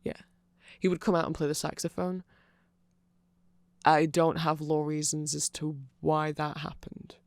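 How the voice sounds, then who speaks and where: A mid-pitched voice with a noticeable creak, recorded close and dry. The speaker rambles informally through an ASMR-style explainer, offering opinions along the way. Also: flat delivery, a little slow, no room sound. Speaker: content creator.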